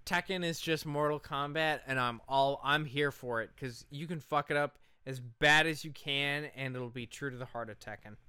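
A man's voice: mid-range voice, moderate pace, few pauses, pushed and bright, clear, resonant tone, no echo. A man co-hosting a podcast, chatting casually and ranting in an emphatic, profane, argumentative way. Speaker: podcast co-host. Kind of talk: casual chat, opinionated ranting.

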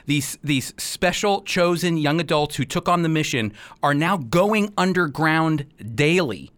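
Slightly high-voiced male interview host. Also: expressive, a little slow, a bit bright. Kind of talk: conversational interview with recaps and questions.

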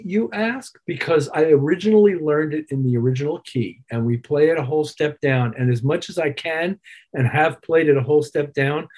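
Husky older man chatting in an interview. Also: medium pitch, darkish tone. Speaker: interview guest, keyboard player and producer. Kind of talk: casual interview chat.

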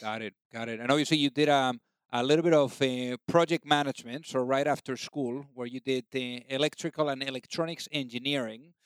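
Man, Spanish accent, podcast host, upbeat, chatty, smooth voice, dry close sound. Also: mid-range voice, steady tone, brisk, no room sound. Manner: upbeat, chatty with many fillers. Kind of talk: casual interview chat.

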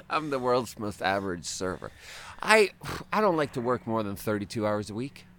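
A man's voice: fairly low pitch, sing-song, normal speed, a bit bright. Laughing rambling man from Sweden. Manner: laughs often, rambling anecdotes. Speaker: podcast host. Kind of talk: casual chat.

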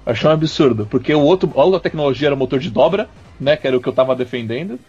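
A man's voice: medium pitch, lively intonation, rapid-fire, a little mellow, breathy edge. A man, co-hosting a podcast, arguing his points in an exasperated way. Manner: argumentative and exasperated. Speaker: podcast co-host. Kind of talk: casual chat. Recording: dull lo-fi audio.

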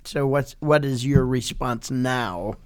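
A man's voice: mid-range voice, takes it slowly, clear, resonant tone. A man co-hosting a conversational interview, putting in short affirmations and questions to his guest. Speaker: interviewer, co-host.

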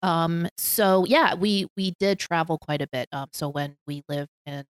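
A woman's voice: on the low side, measured pace, clean tone, no room sound. A woman from Hong Kong with an American accent, chatting as a podcast guest and laughing often between phrases. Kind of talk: casual conversation.

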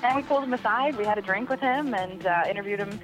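A woman's voice: mid-range voice, fast, a bit bright, faint music behind, rough recording. A woman co-hosting a podcast in casual conversation. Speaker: podcast guest co-host. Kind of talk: casual chat.

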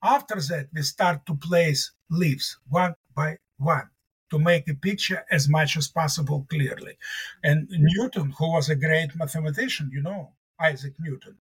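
A husky, rather high-pitched man whose first language is Russian talks as a guest in an interview. He is middle-aged and speaks earnestly and emphatically, returning to his key words to stress them. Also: measured pace. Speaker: mathematics professor.

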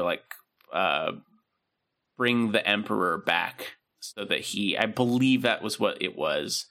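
A man's voice: fairly low pitch, pushed and bright. A man hosting a podcast in casual chat, explaining things in an informal, rambling way. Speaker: podcast host.

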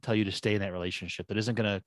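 A man's voice: lowish voice, fairly even intonation, racing, dry recording, studio quality. A man co-hosting a podcast who rambles and thinks aloud with many restarts. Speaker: podcast co-host. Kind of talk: casual conversational chat.

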